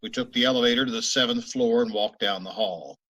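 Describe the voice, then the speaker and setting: A man acting a terse, courteous police sergeant and narrator in an old radio drama, clipped and deadpan. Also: mid-range voice, a little fast, close to an everyday voice. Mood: matter-of-fact narration. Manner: clipped, deadpan, formally polite.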